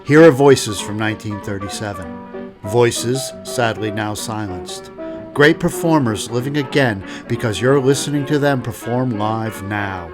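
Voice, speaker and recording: A man hosting a podcast, reading a calm, measured scripted introduction. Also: lowish voice, over music. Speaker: podcast host. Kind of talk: scripted narration.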